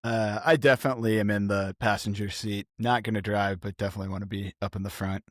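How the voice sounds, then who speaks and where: Low-pitched man chatting as a player. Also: fairly even intonation, quick pace, no echo, studio quality. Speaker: player in a tabletop game. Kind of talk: casual in-game chat.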